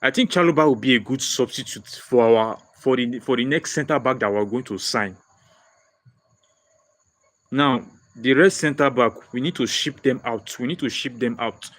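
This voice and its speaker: A man's voice: mid-range voice, normal speed. A young Nigerian man with a Nigerian accent hosting a live football fan stream, answering viewer comments and repeating his points with many restarts. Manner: excitable, repeats phrases, frequent restarts. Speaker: football livestream host.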